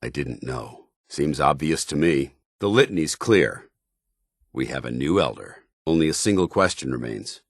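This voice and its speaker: An actor playing an earnest, honour-bound soldier paladin in a video game, with a firm voice and grave, formal, deliberate delivery. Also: male voice, a bass voice, dry recording, studio quality, full character voice. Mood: shaken, grave. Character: earnest, honour-bound military paladin, a synthetic man troubled by his identity. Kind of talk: dramatic video game dialogue.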